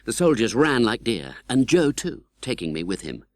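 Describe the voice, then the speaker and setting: A man's voice: mid-range voice, big pitch swings, moderate pace, no echo, studio quality. A man with an English accent reading scripted audiobook narration in a dramatic style.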